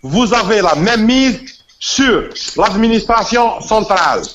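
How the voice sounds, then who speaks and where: A man's voice: sits high, expressive, slow pace. A man from Constantine with an Algerian accent, arguing insistently as a guest in a heated political debate. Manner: forceful, insistent, repeats himself. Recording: muffled lo-fi audio with faint noise.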